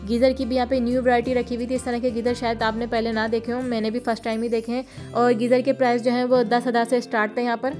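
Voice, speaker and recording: A woman with a Pakistani Urdu accent, narrating a store-tour vlog and listing prices in a steady, informative voice. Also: fairly high pitch, fast. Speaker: shopping vlogger. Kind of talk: vlog voice-over. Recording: voice-over with faint music behind.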